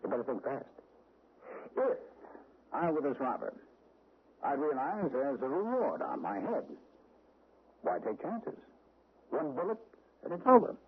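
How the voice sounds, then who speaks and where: A man's voice: a little high, sing-song, a little slow, long pauses, slightly rough, heavily acted. An actor playing a menacing, sly American gunman who toys with people in a sardonic, mock-casual way, in 1970s radio drama style. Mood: cold menace. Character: menacing, sly gunman toying with his captive. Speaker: actor in a radio mystery play. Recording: dull, noisy old radio broadcast recording.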